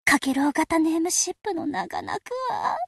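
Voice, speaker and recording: A woman's voice: very high voice, fairly quick, creaky, a little hiss or hum. A woman voicing a spirited, confident teenage destroyer girl in an anime game, perky, assertive and a little bossy. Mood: shocked, faltering. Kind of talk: Japanese anime game voice acting.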